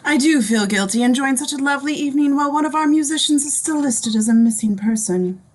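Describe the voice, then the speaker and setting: A woman's voice: high-pitched, fast talker, close to an everyday voice. A woman playing an elegant, politely curious symphony board member in a screenplay table read over a video call. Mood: concerned.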